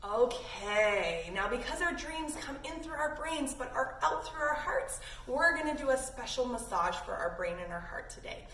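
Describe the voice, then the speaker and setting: A woman's voice: high voice, animated, moderate pace, few pauses, fairly bright tone. A woman instructing young children in yoga in a playful, encouraging way. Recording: roomy, distant mic, faint noise.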